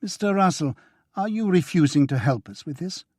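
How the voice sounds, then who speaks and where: A man's voice: on the high side, expressive, normal speed, a little mellow, breathy edge, no room sound, studio quality, pitched above the performer's own voice. A man reading a stern, curt, businesslike woman who serves as a secret contact in an audiobook.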